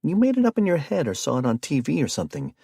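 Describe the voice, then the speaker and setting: A man voicing an exasperated, sharp-tongued young woman who scolds impatiently. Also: on the low side, big pitch swings, normal speed, dry recording, pitched above the performer's own voice.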